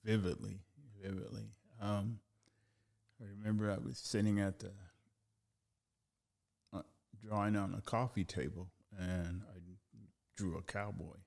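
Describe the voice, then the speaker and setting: A very low, gravelly male voice from an older man who grew up after leaving Texas as a baby. He talks about his life in an unhurried way, drifting through his memories and filling the pauses with quiet yeahs. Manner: relaxed, repeats "yeah" often, chuckles at his own stories. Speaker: guest artist. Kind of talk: casual storytelling interview.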